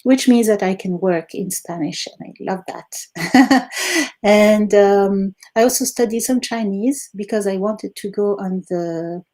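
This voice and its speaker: A woman's voice: medium pitch, slow pace. A woman in her sixties from Algeria, a native Arabic speaker, answering interview questions as a guest with frequent laughs and fillers. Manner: warm, laughs often, fillers. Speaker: guest, retired Arabic teacher.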